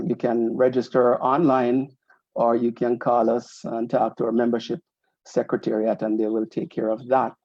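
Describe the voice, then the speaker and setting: A man hosts a community talk show in an easygoing, explaining way, often restarting his sentences. His voice is mellow and dark. The sound is dry and slightly muffled. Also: mid-range voice, no echo. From Jamaica. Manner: friendly, explains slowly with many restarts. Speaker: host, association president.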